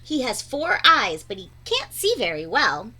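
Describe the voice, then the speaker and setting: A woman reading a children's picture book aloud in an expressive, deliberate, teacher-like way. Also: a little high, slightly rough. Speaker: read-aloud storyteller.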